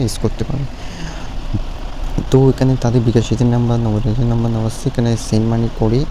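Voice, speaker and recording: A Bangladeshi man giving a casual app tutorial, explaining step by step in a repetitive, halting way. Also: lowish voice. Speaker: tech tutorial YouTuber. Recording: noisy, steady vehicle-like background hum. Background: clear background noise.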